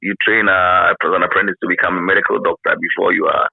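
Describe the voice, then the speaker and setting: A man's voice: deep voice, flat delivery, quick pace, gravelly, slightly rough, no echo. A man in his fifties with a Ghanaian accent, reminiscing in a casual interview and often repeating phrases for emphasis. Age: 50s. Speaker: interview guest, former radio pioneer. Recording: narrow phone-like line.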